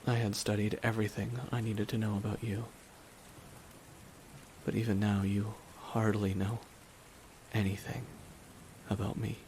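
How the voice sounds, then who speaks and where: Man playing a low, brooding, grieving supervillain. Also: level tone, darkish tone, a little hiss or hum, rough recording. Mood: bitter, brooding. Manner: slow, deliberate, brooding monologue with long pauses. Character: grieving, resigned male supervillain, solemn and regretful. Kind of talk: dramatic ASMR roleplay monologue. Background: rain falling.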